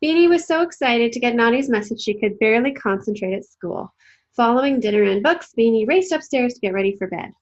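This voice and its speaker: A woman narrating a children's storybook aloud in an expressive read-aloud style. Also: a little high, fairly quick, dull audio. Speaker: storybook reader.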